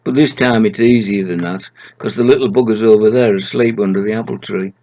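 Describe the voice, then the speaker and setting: A man's voice: lowish voice, fairly quick, darkish tone, dull audio, natural voice. An older man voicing a confident, plain-speaking female border collie in a read-aloud story. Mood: matter-of-fact.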